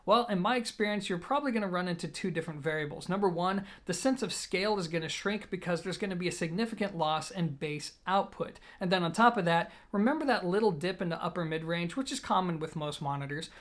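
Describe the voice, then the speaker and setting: A man's voice: high voice, quick pace, few pauses. A man giving a conversational product review as an audio gear reviewer, explaining things in a blunt, emphatic way.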